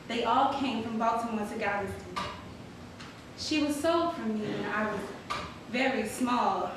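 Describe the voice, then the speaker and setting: A woman's voice: fairly high pitch, natural voice. A woman performing a dramatic reading as a polite, anxious freedwoman seeking her mother, earnest and formal. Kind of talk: dramatic reading of a historical letter. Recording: distant, very echoey hall, noisy.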